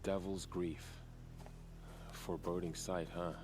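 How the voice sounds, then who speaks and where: Low-voiced, loyal young warrior. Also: male voice, level tone, slow, music in the background. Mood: wary, musing. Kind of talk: naturalistic video game acting. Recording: faint noise and ambience.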